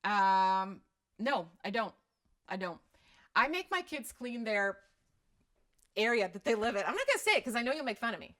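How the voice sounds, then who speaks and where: A woman's voice: on the high side, very animated, normal speed, pauses often, a bit bright. A middle-aged woman from Croatia with a slight Croatian accent, chatting casually about parenting and answering viewer questions on a live stream, candid and self-deprecating.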